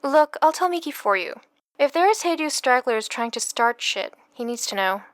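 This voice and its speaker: Brisk young woman on phone. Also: high voice, lively intonation, no echo, clean studio sound. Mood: matter-of-fact, concerned. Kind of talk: audio book character acting.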